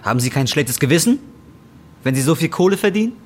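A man's voice: fairly high pitch, very animated, fast, slight background noise. An actor playing a bitter, defiant, broke injured roofer in his twenties, in a German radio drama. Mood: sullen, provoking. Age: 20s. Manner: embittered, colloquial, confrontational. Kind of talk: German radio drama acting and brooding first-person narration.

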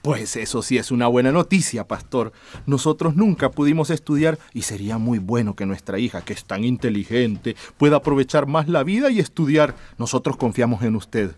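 A man playing a humble, trusting village father in middle age. His male voice is medium in pitch, with an earnest radio drama delivery. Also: animated, normal speed, darkish tone, no room sound, close to an everyday voice. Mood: trusting, hopeful.

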